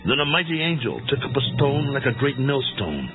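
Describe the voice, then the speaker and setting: A man narrating a dramatized scripture reading in a solemn, measured manner. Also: mid-range voice, big pitch swings. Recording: tinny band-limited audio under loud music.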